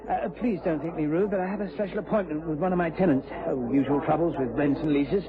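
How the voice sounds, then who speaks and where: An actor playing a genial, effusive retired British gentleman turned country squire in a period radio drama. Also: fairly high pitch, fast talker, lightly acted. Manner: effusive, hesitant, polite. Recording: tinny old radio broadcast, crowd noise.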